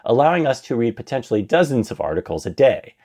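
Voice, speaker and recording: A man's voice: mid-range voice, very animated, fast talker, dark tone. A man reading his own prepared essay aloud as a podcast narrator, with an emphatic, enthusiastic delivery. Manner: refers to himself as "we".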